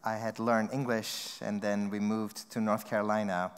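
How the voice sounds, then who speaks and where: A middle-aged man moderating a panel on a theater stage, speaking in a slow, measured way. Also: fairly low pitch, level tone. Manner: slow, measured, with soft laughs. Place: seated on a large theater stage. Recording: long hall reverb.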